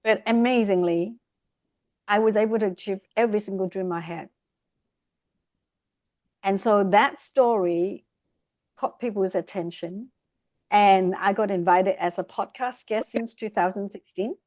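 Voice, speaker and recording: Podcast guest: middle-aged woman, expressive storyteller, dry close sound. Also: medium pitch, unhurried, pauses often, dry recording. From Vietnam. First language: Vietnamese. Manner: lively, expressive, speeds up in bursts. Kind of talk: casual interview storytelling.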